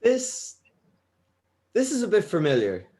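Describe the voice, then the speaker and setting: Male band hopeful, read by a man: hesitant, blunt, honest, with swooping, animated intonation. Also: high-pitched, a little slow, heavily acted. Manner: hesitant, unsure. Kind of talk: table read of a comedy script.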